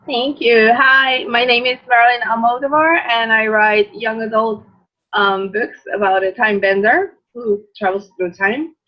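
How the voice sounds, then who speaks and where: Woman from puerto rico, quick plain author intro, highish voice, muffled lo-fi call sound. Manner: brief, plain. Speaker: author, panelist. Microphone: video call.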